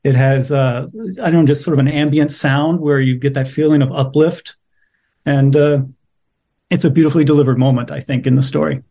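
A man's voice: mid-range voice, steady tone, fairly quick, creaky, mellow. A middle-aged man speaking as an interviewed playwright, casual, reflective and a little wry.

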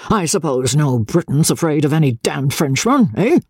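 A man's voice: on the high side, big pitch swings, moderate pace, a little mellow, breathy edge, no room sound, studio quality, voice pushed up, heavily acted. A man playing a coarse, blustering old merchant. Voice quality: coarse, bullying.

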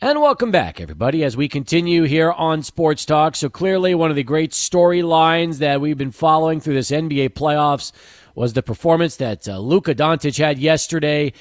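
A man hosting a sports radio show, energetic, setting up segments and asking guests questions. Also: fairly high pitch, moderate pace, dry recording, clean studio sound.

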